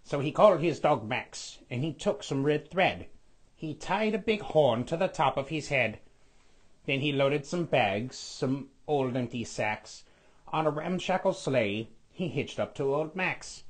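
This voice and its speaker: A man voicing a scheming skeletal villain lord who reads a storybook aloud with a raspy, sniffly voice, stretching out repeated words. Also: a little high, expressive. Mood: gloating. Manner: sing-song storybook reading, drawn-out repeated words.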